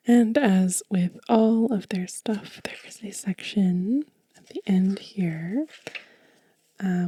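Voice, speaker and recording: A woman speaks in a very soft, dark and mellow whisper, as an ASMR host would. Her delivery is gentle and slow, pausing between items. The voice is breathy and recorded close and dry. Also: medium pitch, dry recording. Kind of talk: soft-spoken whispered ASMR show and tell. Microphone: close mic in a dry space.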